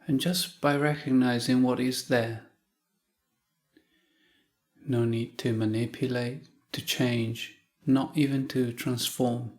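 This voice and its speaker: A man leading a guided meditation in short, calm phrases with long pauses. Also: medium pitch. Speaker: meditation teacher.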